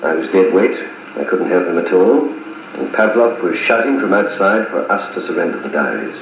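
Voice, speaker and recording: An actor playing a wounded, stoic army major with clipped, wry, understated speech in a 1950s radio serial. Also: male voice, low-pitched, expressive, normal speed, narrow, tinny audio. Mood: grim narrating. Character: wounded British army major, stoic, wryly good-humoured. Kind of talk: 1950s radio drama acting. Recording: 1950s wire recording, thin, echoey, noisy.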